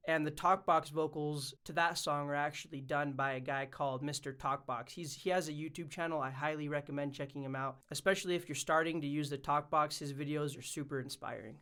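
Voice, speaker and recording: A man's voice: fairly high pitch, a bit bright, clean tone. A man presenting a casual explainer vlog as a music production YouTuber.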